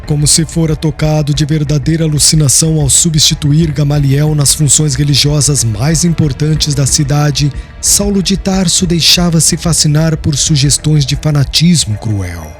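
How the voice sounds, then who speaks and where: A Brazilian man narrates a literary audiobook in a somewhat high-set voice. His delivery is solemn and measured, with phrases settling downward as they end. Faint music plays behind him. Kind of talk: scripted literary narration of a religious historical novel. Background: background music.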